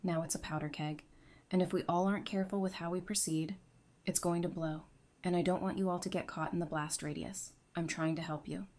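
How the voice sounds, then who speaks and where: An actress playing a resolute, composed widowed survivor of an apocalypse in an audio drama, speaking in a measured, earnest way. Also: female voice, lowish voice, steady tone, a little mellow, close to an everyday voice. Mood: urgent warning.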